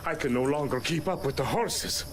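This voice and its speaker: Man playing fretful, high-voiced older Italian horseman. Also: moderate pace, lightly acted. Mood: grateful. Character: weary, grateful older Italian stable hand, fretful. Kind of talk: video game cutscene acting. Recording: music behind game dialogue.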